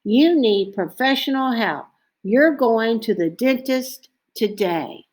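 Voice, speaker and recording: A woman's voice: fairly high pitch, lively intonation, slow, a little mellow. A woman voicing a firm, practical mother in a storybook, with a matter-of-fact manner. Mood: decisive.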